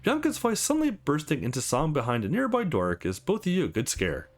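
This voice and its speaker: A man reading a visual novel aloud as a let's play host, in an expressive storytelling manner. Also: a little high, brisk. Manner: animated, voices each character's dialogue. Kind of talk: expressive narration with asides.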